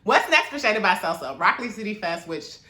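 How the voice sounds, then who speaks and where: A woman's voice: medium pitch, very animated, brisk, bright, forceful tone, echoey room. A woman from Maryland, a small business owner, talking casually to camera in a chatty, encouraging way with lots of fillers.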